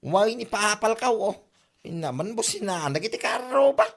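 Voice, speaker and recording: Woman acting animated Ilocano show-off village husband. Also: medium pitch, normal speed. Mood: pleased. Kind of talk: Ilocano audio comedy drama acting.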